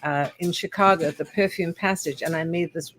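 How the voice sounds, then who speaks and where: An older woman with a South African accent, a perfumer being interviewed on a talk show, telling her stories with animated quoted voices. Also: deep voice, brisk, a little hiss or hum. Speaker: guest, perfumer.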